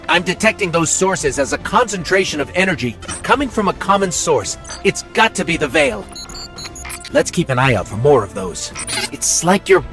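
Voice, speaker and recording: A man's voice: high-pitched, very animated, normal speed, gravelly, a bit bright, heavily acted. A man playing a small, earnest, curious floating robot companion in a video game, alert and informative, with music under the voice.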